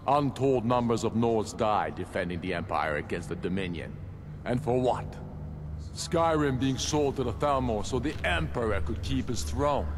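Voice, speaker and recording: An actor playing a proud, grave, battle-hardened middle-aged rebel leader in a fantasy video game, speaking in a solemn, measured, oratorical way. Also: male voice, medium pitch, lightly acted. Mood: impassioned, defiant. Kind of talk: scripted video game dialogue. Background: background music.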